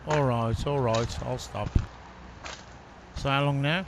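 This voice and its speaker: A man's voice: medium pitch, steady tone, slow pace. A man playing a naive, chatty and cheeky sidekick with an earnest, questioning manner in an amateur audio comedy. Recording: noisy amateur recording with clicks.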